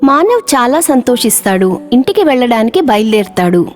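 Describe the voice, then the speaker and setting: A woman narrating a children's cartoon story in a measured storytelling manner. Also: fairly high pitch, sing-song, faint music behind.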